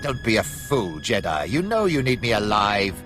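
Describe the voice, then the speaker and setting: A man's voice: fairly low pitch, very animated, normal speed. A man playing a cruel, arrogant Imperial commander in a video game, haughty and menacing in his declamation. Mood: cornered, haughty. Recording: music behind the voice.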